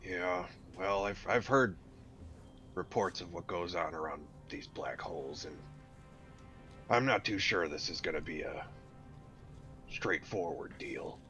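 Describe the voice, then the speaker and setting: A man's voice: low-pitched, unhurried, long pauses, sharp tone, highs cut off, faint music behind. A man playing a weary, uneasy doctor in a tabletop game, hesitant and skeptical. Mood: uneasy, reluctant.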